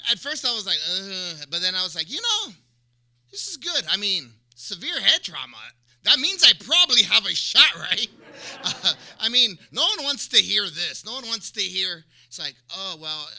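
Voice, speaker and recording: A male stand-up comedian speaking casually on stage with a halting, self-deprecating manner. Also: high-pitched, very animated, creaky, bright, forceful tone. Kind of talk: live stand-up routine and casual interview.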